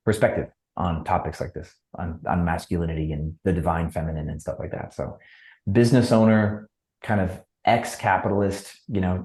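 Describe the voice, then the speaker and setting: A man from Wisconsin, a podcast guest, telling his story in a relaxed, reflective way with fillers. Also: low-pitched, reverberant. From Wisconsin, USA. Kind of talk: casual interview chat.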